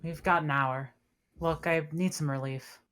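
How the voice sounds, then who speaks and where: A woman's voice: low voice, steady tone, unhurried, a bit bright, slight background noise. A woman playing a blunt, practical spaceship officer in a sci-fi script table read.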